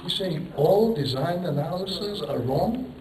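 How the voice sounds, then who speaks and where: A man's voice: sits high, expressive, takes it slowly, a crowd in the background. Man lecturing in an echoey hall. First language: Turkish. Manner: rambling, self-deprecating, poses rhetorical questions, chuckles. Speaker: engineering professor giving a lecture. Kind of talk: conference lecture. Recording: echoey hall, voice picked up from a distance.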